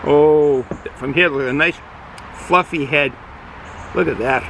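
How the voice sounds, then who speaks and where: A middle-aged man with a Boston accent, casually reviewing a beer outdoors, rambling and halting with many fillers. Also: on the high side, gravelly, fairly bright tone, a little hiss or hum, low-quality recording. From Boston area, United States. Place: outdoors, sun in his eyes.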